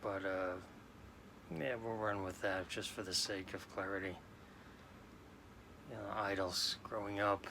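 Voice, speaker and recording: A man in his late forties from New York, talking slowly and haltingly in a sad, subdued way as a sports card vlogger. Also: deep voice, little pitch movement, bright, forceful tone, slight background noise. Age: 40s. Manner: halting, subdued, many restarts. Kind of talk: casual personal talk to camera.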